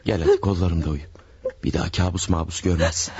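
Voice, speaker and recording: A scheming farmer husband speaks in a low, husky voice. He sounds soothing and reassuring while he hides a murder. The sound is that of an old radio drama. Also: fairly even intonation, quick pace, a little mellow. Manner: soothing, reassuring, smooth-talking. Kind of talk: classic radio drama acting.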